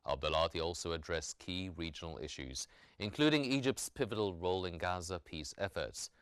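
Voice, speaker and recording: Male news anchor, low and resonant, formal scripted bulletin delivery. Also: a bit bright, clean tone, no echo, clean studio sound. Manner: formal, measured newsreader delivery.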